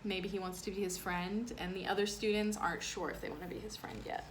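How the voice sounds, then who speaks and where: A woman's voice: medium pitch, steady tone, fairly quick. A woman reading a picture book aloud to children and explaining it in simple asides. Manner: plain, explanatory, child-directed phrasing. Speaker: read-aloud storyteller, teacher addressing children. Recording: clear background noise.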